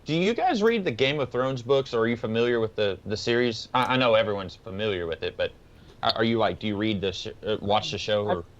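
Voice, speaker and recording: A man's voice: lowish voice, brisk, muffled recording. A man co-hosting a podcast, explaining his thoughts in a casual, rambling, tentative way. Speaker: podcast co-host. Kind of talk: casual discussion of books and comics.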